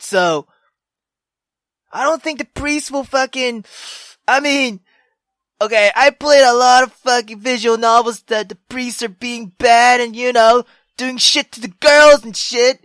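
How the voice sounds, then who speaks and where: A young man playing a visual novel on camera, reacting loudly and excitably with lots of swearing. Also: extremely high pitch, dry recording, clean studio sound. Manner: loud, excitable, heavy swearing. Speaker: gaming YouTuber. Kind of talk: let's-play commentary.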